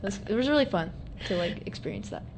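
A woman's voice: mid-range voice, sing-song, fast talker, darkish tone. A young woman speaks casually as a radio show guest, her talk full of "like" and loose restarts. A faint hiss runs under her voice. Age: young adult. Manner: filler-heavy casual talk, many "like"s. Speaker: student guest. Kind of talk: casual chat. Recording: noisy studio recording.

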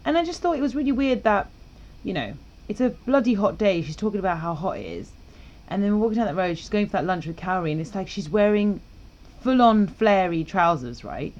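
A woman co-hosts a podcast, chatty, teasing and opinionated. Her pitch sits in the middle range. The recording sounds slightly dull. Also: animated, brisk, hardly any pauses, darkish tone. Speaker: podcast co-host. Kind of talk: casual chat.

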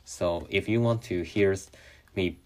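Young male podcast host, Chinese native speaker, very deep voice, halting delivery with frequent restarts. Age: young adult. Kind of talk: solo podcast monologue.

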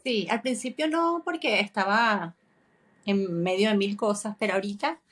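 A woman's voice: mid-range voice, normal speed, a bit bright, breathy edge. A Venezuelan woman in her forties co-hosting a podcast, talking reflectively in a casual chat. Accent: Venezuelan Spanish. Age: 40s. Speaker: podcast co-host.

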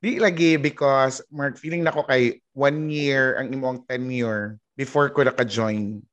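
A man's voice: mid-range voice, steady tone, moderate pace, a bit bright, lo-fi sound. A man in his thirties with a Filipino accent opens and steers a casual podcast over a video call. He is chatty and teases his co-host, with an everyday mid-range voice. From Philippines. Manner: teasing, chatty. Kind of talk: casual chat.

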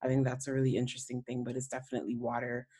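A woman with a notably low voice hosts a podcast interview. She speaks in a laid-back, slangy, conversational way. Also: level tone, racing, warm tone, slightly rough. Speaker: podcast host.

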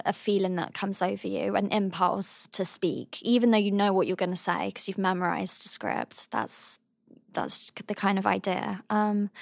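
A young woman from England with a high voice, giving thoughtful, earnest interview answers as a drama student. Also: gravelly, no room sound, clean studio sound. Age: young adult. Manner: thoughtful, earnest, softly trailing phrases.

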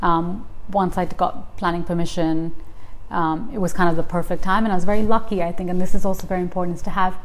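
A woman in her thirties from Tanzania, a property developer talking in a relaxed, reflective way with frequent ums, recorded inside a luxury house. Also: fairly low pitch, quick pace, low-quality recording. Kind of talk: casual interview chat.

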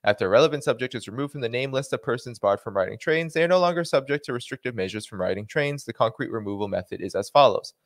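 A man's voice: mid-range voice, lively intonation, quick pace, hardly any pauses, no echo. A man with a Boston accent hosting a livestream, reading a policy document aloud and breaking off into dry sarcastic asides. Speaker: host.

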